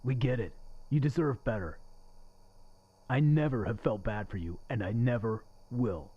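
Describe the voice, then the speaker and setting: An actor playing a crude, bitter but lonely restaurant server in a radio play, with sarcastic, needling delivery. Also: male voice, mid-range voice, moderate pace, husky, dull audio, natural voice. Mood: bitter, agitated.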